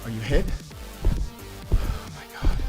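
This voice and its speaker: A man's voice: slow pace, close to an everyday voice. An actor playing an earnest, protective young man in a cinematic action audio drama, emotional and urgent. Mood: urgent, frightened. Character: earnest, protective young man fighting beside his injured lover. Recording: dramatic music behind the voice.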